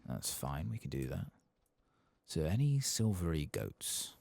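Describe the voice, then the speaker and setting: A soft-spoken man quietly commentating a video game in ASMR style, murmuring as if to himself. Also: rumbling low, sing-song, measured pace, vocal fry. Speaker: ASMR gaming streamer.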